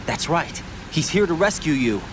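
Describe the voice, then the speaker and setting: A man acting a calm, patient young rescue operative in a cinematic video game. He is earnest and coaxing, reassuring like someone guiding a frightened person to safety. Noisy watery ambience runs clearly behind him. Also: fairly high pitch, normal speed, natural voice.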